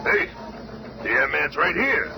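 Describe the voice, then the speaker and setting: Blunt wisecracking superhero bruiser, noisy recording. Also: male voice, fairly high pitch, very animated, unhurried, vocal fry, full character voice. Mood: surprised. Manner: blunt, slangy, sarcastic. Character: gruff wisecracking male superhero strongman. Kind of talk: 1970s radio drama acting. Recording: noisy old 1970s radio recording.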